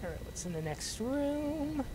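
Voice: high voice, big pitch swings, a little slow, noisy background. A gamer giving casual, playful let's play commentary, chatting to the things and enemies in the game. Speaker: gamer narrating own playthrough.

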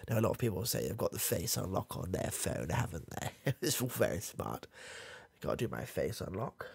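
Casting: young man as a rambling old granddad, low gravelly voice with lively swings, dry close sound. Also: dry recording, full character voice. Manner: rambling, halting, trailing off, chuckling. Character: doddering old granddad, confused, creepy, rambling. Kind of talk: comedy ASMR roleplay. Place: small dark room, indoors. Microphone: close studio mic, camera near face.

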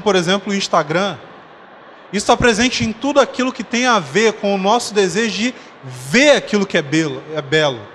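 A man in his thirties preaching a conference sermon on a dark church stage with a handheld mic, conversational, explaining slowly with humorous asides. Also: high-pitched. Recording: long hall reverb, faint noise.

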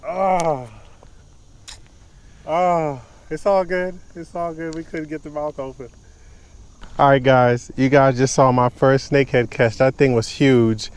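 A young man vlogging outdoors from a kayak on a lake, talking excitedly to the camera while fishing, recorded on a body-worn action camera. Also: medium pitch, a little slow, smooth.

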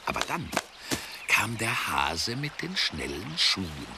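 A man narrating a children's story expressively, with deliberate, dramatic pauses. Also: fairly low pitch, sing-song. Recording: clearly noisy soundtrack.

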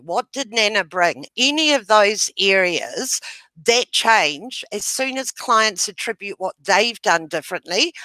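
A middle-aged woman from New Zealand giving a webinar over a video call with a headset, explaining a method in a loud, gesturing way. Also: medium pitch, dry recording, poor audio. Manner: loud voice, gestures while talking. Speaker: webinar presenter. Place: home room, indoors.

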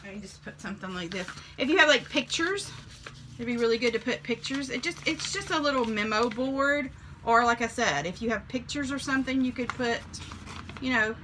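A woman's voice: on the high side, noisy background. Chatty woman showing a haul. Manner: chatty, homey, with frequent ums. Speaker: reseller showing a flea market haul. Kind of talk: casual show-and-tell vlog. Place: small room indoors with shelves.

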